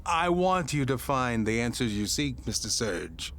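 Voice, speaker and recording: An actor playing a genial, eccentric older radio station boss in an audio drama, speaking in a courtly, halting, wordy way. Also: male voice, medium pitch, very animated, a bit bright, slight background noise. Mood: solemn, courteous.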